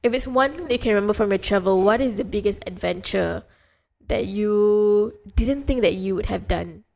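A woman's voice: medium pitch, moderate pace, creaky, darkish tone. A woman hosting a podcast interview in a casual, conversational way, reflective and often searching for her words. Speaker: podcast host.

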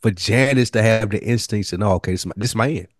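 A man co-hosting a casual podcast, talking in animated slang and acting out lines from the show. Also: on the low side, fast talker, no echo, clean studio sound. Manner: animated, slangy, acts out quotes. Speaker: podcast co-host. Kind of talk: casual TV recap chat.

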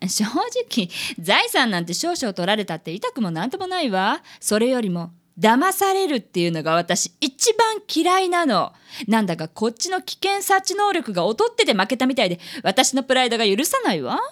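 A woman's voice: on the high side, big pitch swings, moderate pace. A woman playing a haughty, condescending wealthy company president in a comedic radio drama. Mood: proud, demanding. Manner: condescending, ladylike, self-satisfied.

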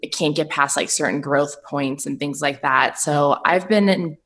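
A woman's voice: deep voice, normal speed, fairly bright tone. A woman from the United States, a startup co-founder answering podcast questions remotely, upbeat and chatty. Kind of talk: casual interview answers. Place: Papillion, Nebraska, remote call.

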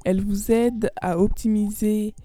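A woman's voice: medium pitch, steady tone, unhurried, mellow, faint music behind. A young woman, a student, hosting a podcast interview with friendly, encouraging reactions. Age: young adult, student. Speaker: podcast host.

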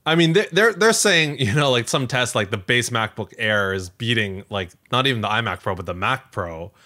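Guy on a tech podcast, really animated pitch, bright voice, just chatting casually w lots of likes. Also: moderate pace. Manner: animated, conversational, filler-heavy with frequent "like" and "you know". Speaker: podcast co-host. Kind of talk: casual tech news chat.

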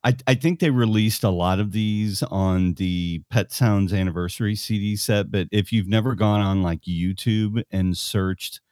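Low-voiced man in his late fifties. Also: unhurried, hardly any pauses, a little mellow, no echo, studio quality. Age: late 50s. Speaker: podcast co-host. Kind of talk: casual chat.

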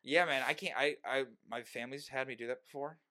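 A man's voice: mid-range voice, fast, bright, forceful tone. A young man hosting a podcast in casual chat, rambling and joking, often breaking off mid-sentence. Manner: rambling, self-interrupting, joking.

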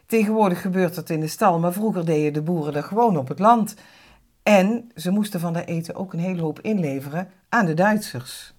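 A woman narrates in a slightly low voice. Her delivery is that of a co-presenter explaining things in simple conversational sentences. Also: fairly quick, a little mellow.

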